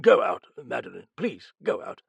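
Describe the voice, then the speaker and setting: A man's voice: sits high, huge pitch swings, moderate pace, vocal fry, fairly bright tone, slightly rough, no room sound, dull audio, voice pushed up, full character voice. Man voicing a shaken, nervous English knight. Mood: nervous alarm. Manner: fumbling, alarmed questioning.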